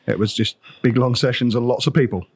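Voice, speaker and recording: A man's voice: mid-range voice, fairly quick, darkish tone, husky, dry recording. Easygoing man co-hosting a podcast. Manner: easygoing, hesitant with ums. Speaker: podcast co-host. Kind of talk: casual chat. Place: warehouse with a bottling line.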